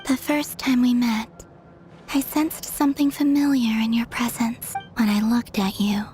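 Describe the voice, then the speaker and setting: Casting: actress as mysterious gentle young woman, video game drama. Higher register, soft and breathy, unhurried, light music underneath. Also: flat delivery, close to an everyday voice. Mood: soft, solemn.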